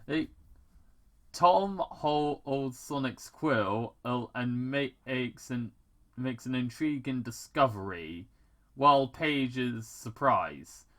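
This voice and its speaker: A man's voice: mid-range voice, slow, a bit bright. A man hosting a casual fan fiction read-aloud, stumbling over lines and adding sardonic, informal side comments. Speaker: host reading fan fiction.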